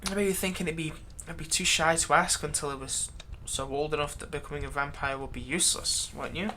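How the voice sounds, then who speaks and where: A woman plays a vampire's long-suffering human thrall in an audio roleplay. The voice is on the low side for a woman, conversational and halting, with a sardonic, exasperated edge. There is some noise behind it. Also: fairly even intonation, sharp tone. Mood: wounded, menacing. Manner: conversational, halting, sardonic, emphatic when aggrieved. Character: long-serving human thrall, exasperated, pleading, turning menacing toward vampire master. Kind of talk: dramatic ASMR roleplay monologue.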